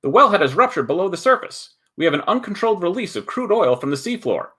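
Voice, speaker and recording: A man's voice: high voice, sing-song, fast talker, a bit bright, pitched above the performer's own voice. A man acting an alarmed oil rig worker urgently reporting an emergency in a dramatic script reading. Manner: urgent, raised voice.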